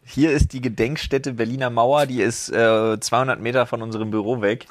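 A man's voice: medium pitch, fairly even intonation, brisk, dry recording. A man from East Germany co-hosting a podcast, chatting casually with dry, self-mocking jokes. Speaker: podcast co-host. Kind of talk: casual banter. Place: office studio in Berlin.

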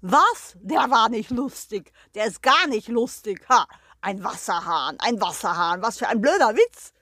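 A boisterous storybook rooster, acted out by a woman, crows and cackles with showy pride. The voice is high and swings widely, in a close, dry recording. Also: lively intonation, bright, forceful tone, dry recording, heavily acted. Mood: offended. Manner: crowing, cackling, showy delivery with drawn-out punchlines. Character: proud, boisterous rooster who loves telling jokes. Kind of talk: children's storytelling.

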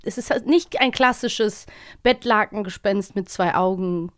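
A woman's voice: mid-range voice, unhurried, no room sound, studio quality. A woman with a German accent co-hosting a podcast about audio dramas in an informal, chatty way. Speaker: podcast host. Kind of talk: casual co-hosted chat about children's audio dramas.

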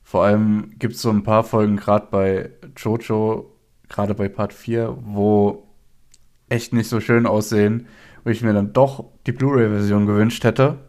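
Low-voiced man with a cold. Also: level tone, a little slow, a little mellow. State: has a cold. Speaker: podcast co-host. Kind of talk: casual podcast chat.

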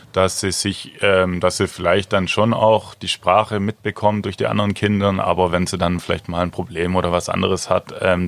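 A man in his thirties answering interview questions in a relaxed, hesitant way with many fillers. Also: deep voice, dry recording. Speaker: handball player, guest.

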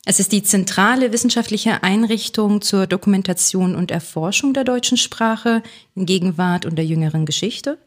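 A woman's voice: medium pitch, normal speed, hardly any pauses. A woman from Kazakhstan, a linguist guest on a podcast, answering hesitantly with many fillers, recorded in a room at a language institute.

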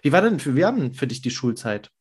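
Man, podcast host, middle pitch, upbeat, chatty, frequent fillers, casual interview. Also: big pitch swings, quick pace, husky.

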